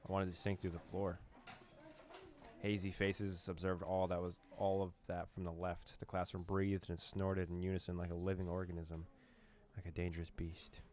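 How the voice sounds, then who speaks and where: A man with a very low, deep voice reads a horror visual novel aloud, translating the characters' lines. He narrates dramatically and adds amused little asides of his own. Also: completely flat delivery, normal speed, darkish tone. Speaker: gaming YouTuber.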